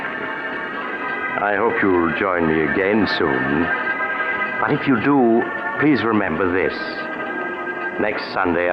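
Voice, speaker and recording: An actor playing a slyly amused, macabre storyteller who hosts a 1940s radio horror show in a wry, insinuating manner. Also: male voice, sits low. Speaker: host. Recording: muffled, noisy 1940s radio recording.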